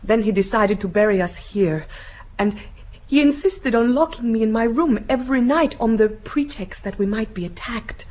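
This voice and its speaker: An actress playing a frightened, melancholy young gentlewoman in a radio drama, with a hesitant, breathy, dramatic delivery. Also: fairly high pitch, moderate pace, lightly acted. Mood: anguished, confiding.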